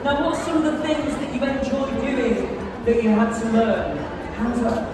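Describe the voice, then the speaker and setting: English science presenter, animated, chatty with the crowd; live hall recording, distant mic, busy audience. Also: mid-range voice, measured pace. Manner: lively, coaxing the audience with questions. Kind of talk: live science show for children. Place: large museum hall with an audience. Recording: echoey hall, mic far off, crowd noise.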